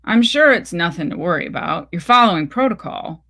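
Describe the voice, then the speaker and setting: A woman's voice: lowish voice, animated, fairly quick, pushed and bright, exaggerated voice. A woman voicing an anxious, worn-down mother in a story reading, guarded and insistent. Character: anxious, worn-down, defensive mother isolated at home.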